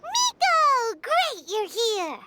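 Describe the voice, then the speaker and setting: A woman voicing a tiny, excitable fairy companion girl in a video game, squeaky and childlike. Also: very slow, very noisy, heavily acted. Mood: chirpy. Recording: background music under the voice.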